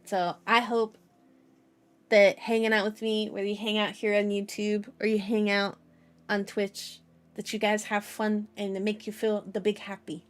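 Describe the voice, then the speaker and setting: A woman's voice: medium pitch, little pitch movement, moderate pace. A woman hosting a livestream in casual chat, with a playful, childlike way of phrasing things and a habit of repeating herself. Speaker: VTuber livestream host. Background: background music.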